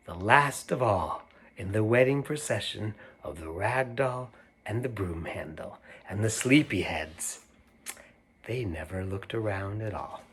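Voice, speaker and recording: A man reading a picture book aloud to children, stopping to talk about the pictures and ask his young listeners questions in a playful, unhurried way. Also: fairly low pitch, husky. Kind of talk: read-aloud storytime with asides about the pictures.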